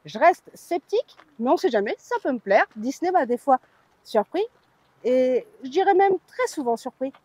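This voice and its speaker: A woman's voice: sits high, lively intonation, moderate pace, darkish tone, slightly rough, no room sound. A woman from France hosting a vlog, chatting informally with a playful, candid manner. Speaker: vlog host. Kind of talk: chatty theme park news vlog.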